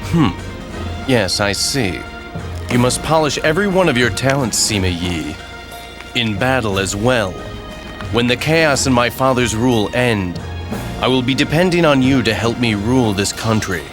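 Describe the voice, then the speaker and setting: A man's voice: medium pitch, lively intonation, measured pace, natural voice. Man playing imperious, proud young lord. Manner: imperious, cool, clipped. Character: proud young male lord, heir to a ruler. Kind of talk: dramatic video game cutscene acting. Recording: loud music under the voice.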